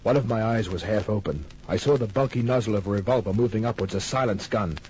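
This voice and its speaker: A man's voice: fairly low pitch, quick pace, a little mellow, slight background noise, lightly acted. An actor playing a wry, hard-boiled private detective who narrates his case in a laconic, cool way in an old-time radio crime drama. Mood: tense, tough. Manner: laconic, cool, clipped storytelling. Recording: muffled old radio recording with music.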